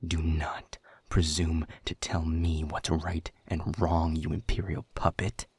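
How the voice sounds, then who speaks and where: A weary, guarded demon captive from an audio drama, acted by a man, with a very low, husky voice that often sinks toward a whisper. He is bitter and quietly defiant, choosing his words slowly and with sardonic formality. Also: monotone, no room sound, exaggerated voice. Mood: angry, scornful. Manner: measured, deliberate, sardonic, formal phrasing. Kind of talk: scripted audio roleplay monologue.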